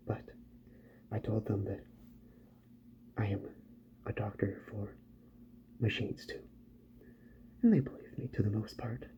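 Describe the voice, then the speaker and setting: Soft-spoken, creaky-voiced ASMR man, half whispering. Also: on the low side, lo-fi sound, slight background noise. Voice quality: soft, breathy, dropping into whispers. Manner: gentle, slow, murmured reassurance to the listener. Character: calm, polite beaked bird doctor who mends robots. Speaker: ASMR performer role-playing a doctor who repairs robots.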